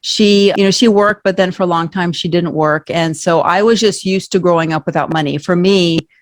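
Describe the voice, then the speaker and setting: A woman's voice: on the low side, normal speed, no echo. Candid rambling woman from Norway. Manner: candid, rambling, laughs often. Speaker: guest. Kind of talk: casual interview talk.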